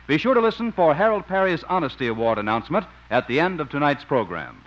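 Male announcer, vintage 1950s radio narration. Polished, fairly high, animated, with muffled old-broadcast sound. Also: fast. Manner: formal, polished. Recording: dull old radio recording with music.

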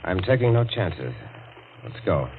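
An actor playing a sly, smooth blackmailer with an insinuating, mock-polite manner in a 1940s radio thriller. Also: male voice, low-pitched, sing-song, a little slow, narrow, tinny audio, heavily acted. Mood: coldly demanding. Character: sly, smooth male blackmailer toying with his victim. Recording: thin, hissy old radio recording.

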